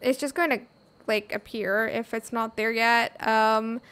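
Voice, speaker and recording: A woman hosting a casual software tutorial, talking viewers through the steps. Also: on the high side, fairly even intonation, unhurried, smooth. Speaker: tutorial host. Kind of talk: casual screen tutorial narration.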